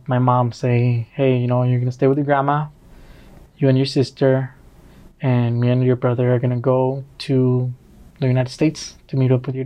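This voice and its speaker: Relaxed young man from Mexico. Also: medium pitch, dull audio. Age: 20s. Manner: relaxed, joking, thoughtful. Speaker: podcast guest. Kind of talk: casual interview conversation.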